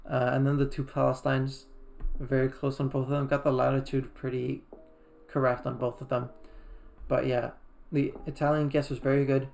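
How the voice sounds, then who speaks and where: A man's voice: mid-range voice, little pitch movement, normal speed, creaky, a little mellow. A man from Iceland giving casual game recap commentary in a loose, conversational way. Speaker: gaming video creator. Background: faint background music.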